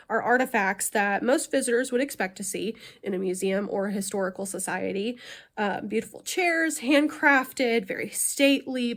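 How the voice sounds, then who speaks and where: A young woman with a cold, sounding nasal, reading a class presentation with frequent "um" fillers. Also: on the high side. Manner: frequent "um" fillers, measured delivery. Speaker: student presenter.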